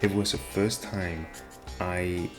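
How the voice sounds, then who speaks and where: A young man with a deep voice and nonstandard R sounds, talking casually and self-deprecatingly to the camera. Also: steady tone, takes it slowly. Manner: rambling, self-deprecating, many restarts. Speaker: vlogger. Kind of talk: casual rambling monologue. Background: video game music playing.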